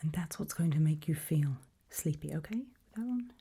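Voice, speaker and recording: A British woman whispering gently in an ASMR roleplay as a calm, attentive sleep clinic practitioner, reassuring and soothing. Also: low-pitched, normal speed. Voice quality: breathy, whispered.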